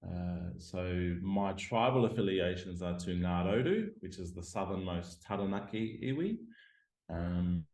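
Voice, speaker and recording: Australian-born academic man, deep voice, halting and reflective, roomy video-call sound. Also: animated, darkish tone. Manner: hesitant, many ums, self-deprecating. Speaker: guest lecturer and scientist. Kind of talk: reflective interview talk. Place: home room by a window.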